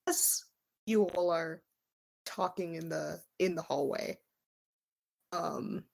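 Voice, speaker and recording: A woman's voice: fairly low pitch, takes it slowly, vocal fry, darkish tone. A woman running a tabletop game, improvising scene narration in a conversational, hesitant way. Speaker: game master.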